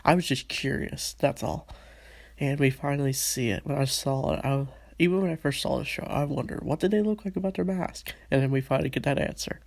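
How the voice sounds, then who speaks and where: A man's voice: medium pitch, fairly quick, gravelly. A man with a stuffy, under-the-weather voice, eagerly recapping a cartoon episode in a casual solo review.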